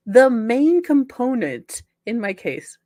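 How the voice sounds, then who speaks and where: A woman's voice: fairly high pitch, very animated, slow, dark tone, no echo, clean studio sound. A woman hosting a comedic dramatic reading, sarcastic and theatrical, breaking in with mocking, casually sweary asides. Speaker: podcast host.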